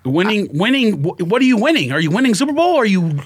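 A woman's voice: medium pitch, very animated, quick pace, husky. A woman co-hosting a podcast who pushes back skeptically in a sports argument. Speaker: podcast co-host. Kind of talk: casual sports debate.

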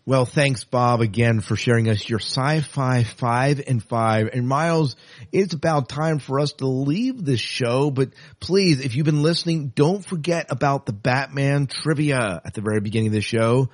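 A man's voice: medium pitch, normal speed. A man hosting a podcast in a casual, chatty and polite way, addressing guests and listeners. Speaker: podcast host.

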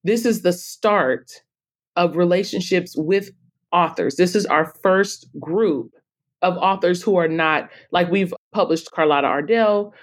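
A woman's voice: fairly low pitch, a little slow. A woman speaking conversationally as a guest in a podcast interview, explaining her work. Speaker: podcast guest, author and editor-in-chief.

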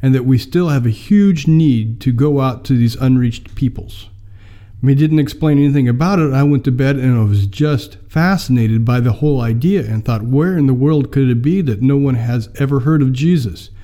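An American man in his fifties, telling how he came to mission work in a measured, filler-laden interview. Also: mid-range voice, warm tone. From United States. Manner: measured storytelling with many fillers. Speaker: mission mobilizer, guest. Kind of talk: personal testimony in an interview.

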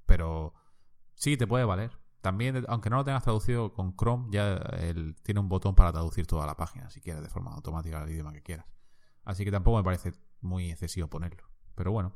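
A man's voice: sits low, a little fast, a little mellow. A man from co-hosting a podcast, venting his exasperation in casual chat. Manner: exasperated, ranting. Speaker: podcast co-host.

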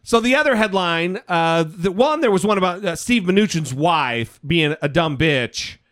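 A man with a high voice and lively, swinging intonation, bantering bluntly and irreverently as a podcast co-host. It is recorded dry and close. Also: measured pace, dry recording. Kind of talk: casual chat commentary.

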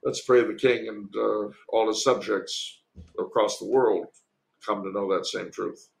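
A man talking conversationally as a learned guest commentator on history, in a discursive, halting way. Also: fairly low pitch, fairly even intonation, vocal fry, rough recording. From United States. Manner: discursive, erudite, halting delivery. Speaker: podcast guest, author and commentator.

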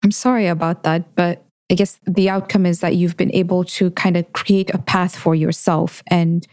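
A woman from Kenya hosting a podcast, reading a measured introduction and then asking her guest questions. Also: lowish voice, level tone, a little mellow, dry recording, studio quality. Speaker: podcast host. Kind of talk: podcast interview.

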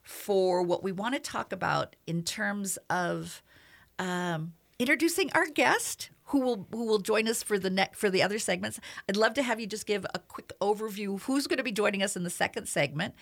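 A woman hosting a radio talk show in a studio, talking earnestly and at a measured pace, with many fillers. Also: medium pitch, creaky, a bit bright.

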